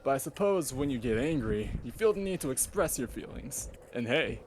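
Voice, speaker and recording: Man as teenage bad boy, cartoon confessional, tough, grudging, middle pitch, slightly noisy recording. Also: animated, normal speed, natural voice. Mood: grudging respect. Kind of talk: cartoon confessional in a fan story read-along.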